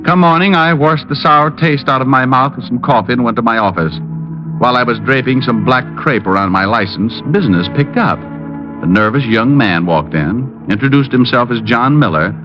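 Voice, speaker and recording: An actor playing a cocky, wisecracking private detective with a wry, breezy delivery in an old noir radio drama. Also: male voice, medium pitch, moderate pace, music in the background, natural voice. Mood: sardonic narration. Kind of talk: 1950s radio drama acting and noir narration. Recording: dull old radio recording.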